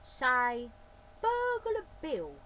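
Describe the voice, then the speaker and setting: A woman's voice: high voice, wildly swooping pitch, extremely slow, bright, forceful tone, pitched above the performer's own voice, exaggerated voice. Storybook burglar chap, played by a woman: hearty, good-natured, swooping emphatic delivery, faint background noise. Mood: coaxing. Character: Burglar Bill, gruff good-natured adult male burglar. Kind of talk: picture-book read-aloud character voice.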